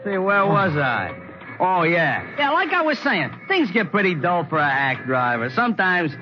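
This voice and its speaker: A man's voice: high-pitched, sing-song, moderate pace, soft background music. An American man acting in a 1940s radio drama as a fed-up, talkative, slangy working-class taxi driver. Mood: grumbling, rambling. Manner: wisecracking, rambling, slangy. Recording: muffled, noisy 1940s radio recording.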